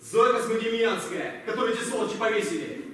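Man acting indignant captain, swooping high voice. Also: lively intonation, fast, fairly bright tone. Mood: bitter, impassioned. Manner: emphatic, barking orders, declamatory. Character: proud, indignant male guards captain, hot-headed, scornful. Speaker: amateur theatre actor. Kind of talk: stage play acting. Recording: echoey hall, distant mic, background noise.

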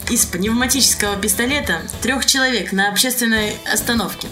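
Higher-voiced female radio host over music. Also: fairly quick, sharp tone. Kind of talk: conversational news presenting. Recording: music playing under the talk.

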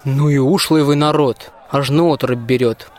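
A man's voice: medium pitch, steady tone, moderate pace, darkish tone, close to an everyday voice. A man in a radio play acting a bold, plain-spoken peasant soldier who scolds in folksy rhymed verse. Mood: stern. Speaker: school student actor.

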